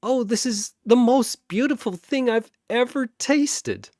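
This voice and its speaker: A man doing a high, eager young soldier girl. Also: a little slow, no room sound, studio quality. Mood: overjoyed. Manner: blunt, excitable. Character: young female soldier, eager and food-loving.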